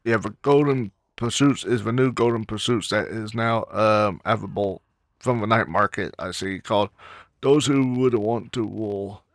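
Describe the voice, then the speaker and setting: A man giving casual, halting gameplay commentary, muttering as he plays. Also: on the low side, no room sound, studio quality. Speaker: gamer recording a playthrough.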